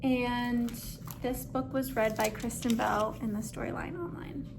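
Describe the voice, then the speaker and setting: A woman with a very high voice reads a children's story aloud. Her delivery is slow, expressive and dramatically phrased. There is faint noise in the background. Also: gravelly. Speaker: story reader. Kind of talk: expressive picture-book read-aloud.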